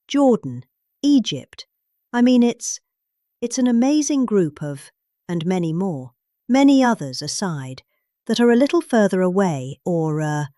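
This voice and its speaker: British woman with animated, swooping delivery. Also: fairly low pitch, dry recording, studio quality. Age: middle-aged. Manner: theatrical delivery with odd pauses and exaggerated emphases. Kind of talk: dramatic reading of a political speech transcript.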